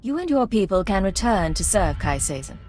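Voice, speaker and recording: A woman's voice: mid-range voice, very animated, moderate pace, a little mellow, faint music behind, lightly acted. An actress playing a self-assured female secret agent in a video game, controlled and coolly persuasive. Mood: probing, persuasive.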